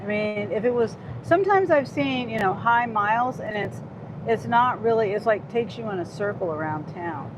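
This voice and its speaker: Chatty woman in her fifties, noisy car. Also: mid-range voice. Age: 50s. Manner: chatty and rambling, with frequent restarts. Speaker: gig delivery driver livestreaming. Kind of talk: casual live-stream chat reading viewer comments.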